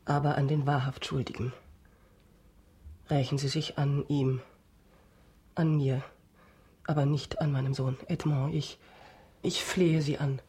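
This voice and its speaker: A woman's voice: low-pitched, flat delivery, unhurried, pauses often, dark tone, slightly rough, full character voice. An actress in a German radio drama playing an anguished noblewoman, earnestly imploring as a desperate mother to a man she once loved. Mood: desperate pleading.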